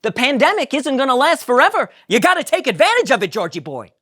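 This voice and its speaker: A woman's voice: high voice, very animated, fast talker, a bit bright, breathy edge, voice pushed up, exaggerated voice. A woman voicing a sarcastic, incredulous man who mocks everything. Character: exasperated sarcastic man, comic lead.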